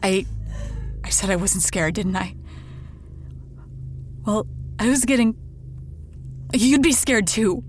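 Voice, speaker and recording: An actress playing a haunted woman in an audio drama, confiding a ghost story in an anxious, halting, repetitive way. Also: mid-range voice, a little hiss or hum, close to an everyday voice. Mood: hushed, eerie.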